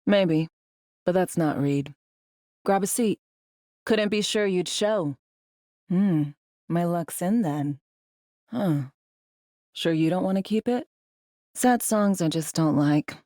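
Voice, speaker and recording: A woman's voice: lowish voice, slow, long pauses, warm tone, no echo, studio quality. A woman voicing a tough, sardonic, world-weary government secret agent in a video game, with a blunt, slangy, wry way of talking. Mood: bittersweet, wry. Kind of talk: video game character dialogue.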